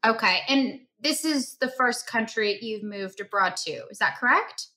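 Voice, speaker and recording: A woman's voice: a little high, lively intonation, a little slow, sharp tone. A woman hosting a podcast, introducing and interviewing in a conversational style. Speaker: podcast host.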